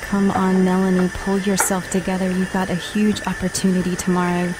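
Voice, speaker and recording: A woman's voice: lowish voice, monotone, a little fast, natural voice, low-quality recording. A woman playing an earnest, troubled TV star in an amateur audio play, hesitant and uneasy. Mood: anxious. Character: uneasy, bewildered TV star woman in surreal drama. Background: music.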